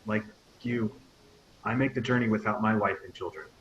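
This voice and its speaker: A man's voice: lowish voice, moderate pace, a bit bright, dull audio, slight background noise, natural voice. A man playing a stoic starship captain giving a formal address at a table read. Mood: commanding.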